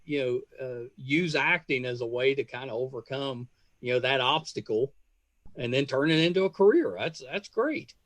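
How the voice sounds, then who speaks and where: A man hosting a casual podcast interview, speaking in a halting, rambling and effusive way. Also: medium pitch, expressive, fairly bright tone, dry recording.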